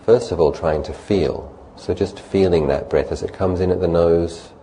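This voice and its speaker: Very deep, mellow man guiding meditation. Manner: calm, unhurried, with long pauses between short phrases. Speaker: meditation teacher. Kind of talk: guided meditation, spoken instruction. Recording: faint background noise and ambience.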